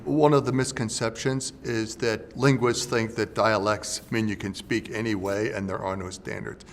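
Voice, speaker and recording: A man, a linguist, calmly explaining dialects in an interview. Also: lowish voice, normal speed, a little hiss or hum. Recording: long reverb with faint music.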